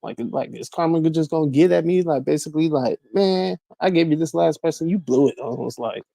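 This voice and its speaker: A man in his mid-forties in a casual chat, halting and repeating words, full of "like". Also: on the high side, gravelly, dark tone, no echo. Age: 40s.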